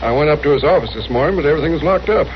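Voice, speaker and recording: Courteous, hesitant frontier rancher played by an American man, higher voice, noisy old radio drama. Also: expressive, very fast, natural voice. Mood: polite, concerned. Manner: plain, courteous. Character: mild, polite, hesitant frontier rancher and father. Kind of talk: old-time radio western acting. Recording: muffled, noisy vintage radio recording.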